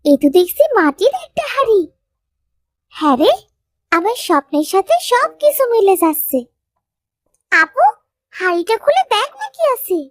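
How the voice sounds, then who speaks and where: A woman voicing an excitable, easily frightened young village girl in a cartoon story. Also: very high voice, moderate pace, long pauses, dry recording, clean studio sound. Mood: curious, excited.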